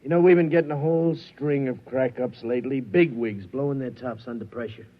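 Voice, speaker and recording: An actor playing a skeptical, no-nonsense police sergeant with an American accent, gruff and colloquial in a 1950s radio drama. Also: male voice, mid-range voice, brisk, close to an everyday voice. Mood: confiding. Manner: gruff, matter-of-fact, colloquial. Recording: dull old radio recording.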